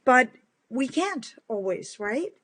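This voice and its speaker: A middle-aged woman with a big booming voice, giving an earnest, emphatic motivational talk straight to camera and repeating words for stress. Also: very animated, slow pace, a bit bright. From Netherlands. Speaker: motivational speaker. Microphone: facing several cameras.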